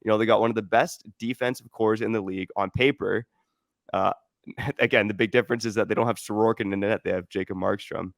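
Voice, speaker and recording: Male podcast host, calm stat-driven analysis, lowish gravelly voice. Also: no echo. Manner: measured, stat-heavy, hedging with "you know". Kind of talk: casual sports analysis.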